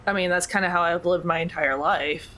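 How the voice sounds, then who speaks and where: A woman's voice: lowish voice, steady tone, brisk, sharp tone. A woman playing a tabletop role-playing game, thinking aloud and chatting casually with the group. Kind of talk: casual speculative table talk.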